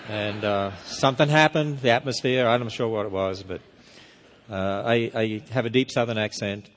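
A man's voice: lowish voice, normal speed, slight background noise. A man with an Australian accent giving a sermon to a church congregation on a handheld mic, folksy and dropping joking asides. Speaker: guest speaker. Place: church sanctuary. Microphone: wireless handheld microphone. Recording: reverberant hall.

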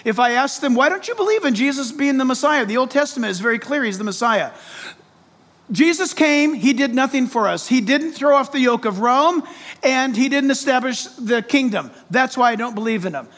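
A man with a very high-pitched voice delivers a sermon as a pastor would. He explains point by point in an emphatic yet conversational way, addressing his listeners directly and putting questions to them. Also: animated, fairly quick, a bit bright. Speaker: pastor preaching to congregation. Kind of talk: expository sermon.

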